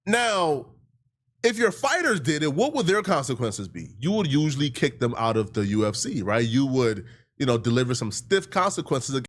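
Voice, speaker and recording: A man's voice: mid-range voice, animated, normal speed. A man giving casual commentary, making his points through pointed rhetorical questions.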